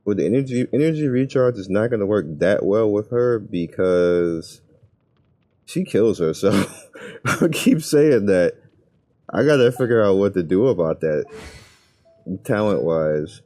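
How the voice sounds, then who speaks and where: A man's voice: on the low side, lively intonation, a little slow, long pauses, dark tone. A man giving casual gameplay commentary, thinking aloud in informal, slangy, muttered asides. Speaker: gaming video creator.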